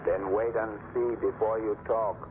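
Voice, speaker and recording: An actor playing a curt, gruff island boat pilot who speaks in clipped broken English, in an old radio drama. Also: male voice, lowish voice, flat delivery, measured pace, lightly acted. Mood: grim. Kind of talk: 1930s radio acting. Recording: tinny, hissy old radio broadcast.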